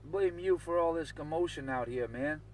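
A man's voice: fairly high pitch, normal speed. A man bantering on CB radio in a repetitive, chanting way, repeating catchphrases over a radio transmission. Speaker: CB radio operator. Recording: noisy CB radio, distant mic.

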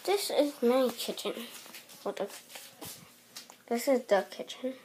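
A young girl walks through a small apartment with a phone in her hand, narrating in a slow, halting way as she points things out. Her voice sits a little high, and the recording picks up steady noise from the handheld phone. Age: child. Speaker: vlogger giving a home tour. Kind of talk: casual home tour narration. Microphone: handheld phone camera, moving.

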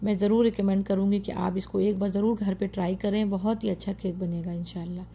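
A woman's voice: mid-range voice, level tone, a little fast, mellow, slight background noise. A woman narrating her home cooking tutorial, chatty and full of praise for the dish, giving viewers step-by-step tips. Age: adult, mother of children. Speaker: home cook and channel host.

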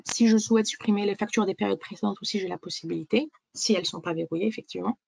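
A woman giving a webinar software demonstration, explaining step by step as the presenter. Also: mid-range voice, very fast, gravelly.

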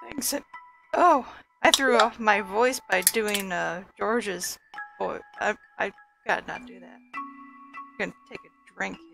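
A woman with a high voice chats about her farming video game at a slow, easy pace. Her voice carries a gravelly, grainy edge, and she is quick to poke fun at herself. Cheerful game music plays behind her. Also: low-quality recording. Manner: chatty, self-mocking. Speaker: gaming video creator. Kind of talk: casual gameplay commentary.

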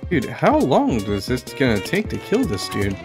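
A man giving casual let's play commentary as a game streamer, thinking aloud in a halting way. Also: mid-range voice, wildly swooping pitch. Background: game music.

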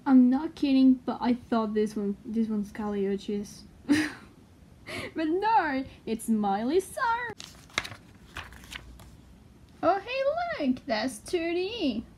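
A young woman casually reads aloud and comments, halting and excitable, swearing freely. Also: sits high, slight background noise. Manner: excitable, foul-mouthed, halting as she translates. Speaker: vlogger. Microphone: handheld phone filming the page.

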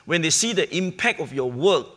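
A middle-aged man, a charity leader speaking on a conference panel, in an earnest, measured way with pauses and restarts, on a lapel mic. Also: a little high, expressive, fairly bright tone. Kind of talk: panel discussion. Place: conference hall stage.

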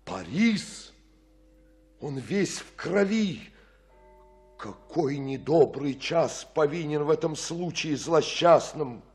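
An actor playing a pious, fearful monk in a radio tragedy, theatrical and full of horror and urgency. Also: male voice, a little high, lively intonation, slow pace, darkish tone, faint music behind. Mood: hushed horror. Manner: theatrical, exclamatory. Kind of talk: radio-play tragic verse acting.

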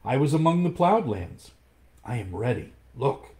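A man voicing a bold, defiant boy raised by wolves, speaking loud and high. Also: sing-song, a little slow.

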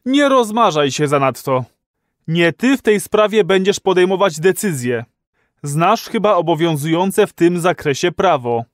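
A man's voice: high-pitched, lively intonation, unhurried, no echo, studio quality. A man acting as a weary, sullen, mature husband in a dystopian radio play, with a dour, scolding, resigned manner. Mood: stern, cold. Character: weary, sullen, controlling middle-aged husband.

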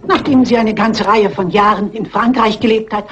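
An actress playing a stubborn, shrewd elderly lady sleuth in a dubbed crime film, husky and briskly dignified. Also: medium pitch, fairly bright tone, a little hiss or hum, natural voice. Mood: brisk, determined. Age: older. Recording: dull old film soundtrack.

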